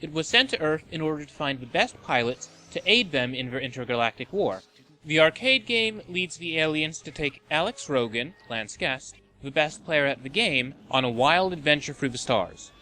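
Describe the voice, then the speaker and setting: A man's voice: a little high, animated, normal speed, sharp tone, poor audio. A man hosting a video game and movie show, reading scripted list narration with a wry, tongue-in-cheek manner.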